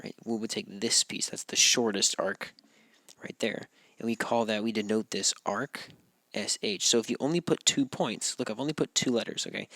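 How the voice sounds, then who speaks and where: A male math teacher walks through geometry notes, a little hoarse because he is losing his voice. His voice is lowish and gravelly with a bright edge. He keeps it relaxed and checks in with "right?" again and again, and the sound is dry and close. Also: no room sound. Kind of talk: recorded classroom walkthrough.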